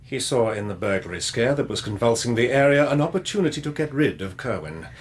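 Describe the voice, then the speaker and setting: A man portrays a confident police inspector in a radio play. His voice is medium in pitch, measured and deliberate, with cool, accusing authority. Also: animated, natural voice. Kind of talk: radio drama acting.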